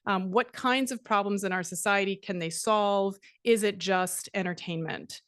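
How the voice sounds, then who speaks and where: A middle-aged woman from Canada giving a conference talk, measured and steady, with frequent fillers. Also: mid-range voice, no echo, studio quality. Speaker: conference speaker. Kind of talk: academic presentation.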